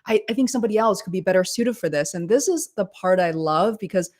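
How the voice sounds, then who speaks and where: A woman's voice: mid-range voice, fast, darkish tone. A woman hosting a livestream interview over a video call, talking in an enthusiastic, effusive conversational way. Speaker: livestream host.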